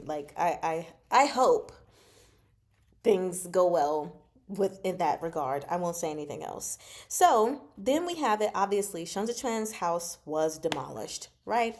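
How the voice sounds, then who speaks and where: A woman hosting a casual book discussion livestream, chatting to viewers in an expressive, exclaiming manner. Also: on the low side, moderate pace. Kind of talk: casual chat, discussing a novel with live viewers.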